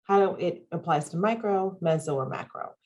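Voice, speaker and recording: A woman's voice: on the low side, normal speed, darkish tone. A woman presenting and moderating an online panel on a video call, upbeat and listing items with frequent ums. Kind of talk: webinar presentation.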